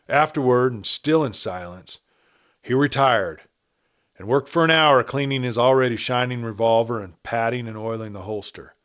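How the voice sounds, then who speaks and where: A man's voice: mid-range voice, fairly bright tone. A man reading a western story aloud as a scripted narrator. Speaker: audiobook narrator.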